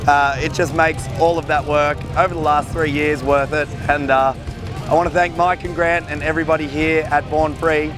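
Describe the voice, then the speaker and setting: A man who has lost his voice, speaking hoarsely and haltingly in a grateful, informal thank-you speech at an outdoor show. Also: a little high, little pitch movement. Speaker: award-winning bike builder. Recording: echoey, distant, music in background.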